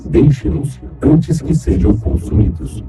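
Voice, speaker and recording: A menacing alien entity, speaks slowly and with ominous command in an analog horror tape. The pitch is somewhat high and swings widely, and the voice comes through distorted and far away over music. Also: male voice, big pitch swings. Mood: menacing warning.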